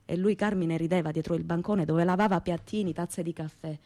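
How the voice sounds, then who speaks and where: A woman's voice: fairly low pitch, fairly even intonation, a little fast, mellow, dry recording, lightly acted. An actress playing a plain-spoken, proud young working-class woman with a Calabrian accent, telling her memories in earthy, colloquial radio theatre. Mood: wistful, tender. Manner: earthy, colloquial, repetitive folk storytelling. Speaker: stage actress performing a monologue. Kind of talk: dramatic first-person storytelling in dialect-tinged Italian radio theatre.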